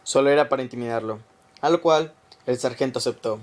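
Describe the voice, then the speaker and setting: A man's voice: mid-range voice, quick pace. A man reading scripted narration for a radio drama, telling a story in the third person.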